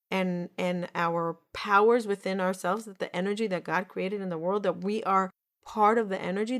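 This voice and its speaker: A woman in her forties telling a personal story in an earnest, repetitive way on a podcast, often restarting her sentences. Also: mid-range voice. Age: 40s. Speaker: podcast host.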